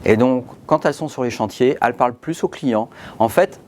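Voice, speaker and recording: A man's voice: mid-range voice, brisk, a little mellow, slight background noise. A man, a company manager, explaining fluently and with assurance in an interview.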